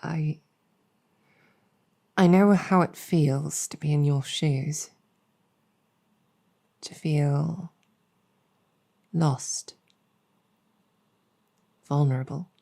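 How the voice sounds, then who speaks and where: A woman voicing a weary, earnest demon general in an intimate audio roleplay, speaking reflectively with hesitant pauses. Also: deep voice, fairly even intonation, vocal fry, mellow, heavily acted. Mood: tender, earnest.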